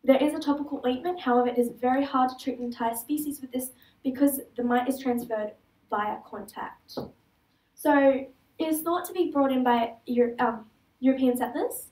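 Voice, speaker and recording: High-voiced Australian teen girl on stage. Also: moderate pace, warm tone. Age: teenager. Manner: earnest, with small restarts and slips. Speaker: student speaker. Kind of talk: prepared stage talk. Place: large auditorium stage. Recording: echoey hall, heard from far off.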